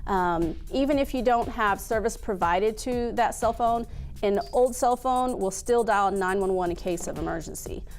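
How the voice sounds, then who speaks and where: A woman's voice: mid-range voice, moderate pace, darkish tone, soft background music. A woman giving practical advice in an informal way, listing items with filler words. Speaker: interviewee giving safety tips.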